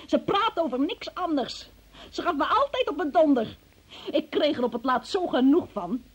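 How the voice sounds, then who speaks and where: A high-pitched young female suspect in a radio play. She is evasive and self-pitying. Her manner is pleading and petulant, with a defiant streak. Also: expressive, normal speed. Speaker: radio drama actor. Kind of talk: emotional Dutch radio play acting.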